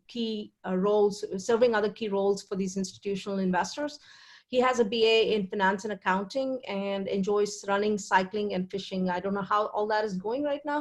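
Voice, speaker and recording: A woman hosting a webinar and introducing the guest speakers, with frequent fillers and hesitations. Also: medium pitch. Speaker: webinar host, investor.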